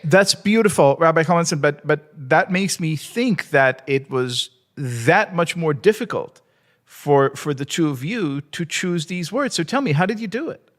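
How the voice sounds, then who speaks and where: A man's voice: on the high side, expressive, unhurried, a bit bright. A man from Israel, a native Hebrew speaker, interviewing authors at a book launch.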